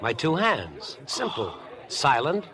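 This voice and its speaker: Smooth, sinister young charmer, animated. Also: male voice, medium pitch, takes it slowly, heavily acted. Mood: gleefully sinister. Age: young adult. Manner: suave, playfully insistent. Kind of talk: 1950s radio drama acting. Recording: old radio broadcast, background music.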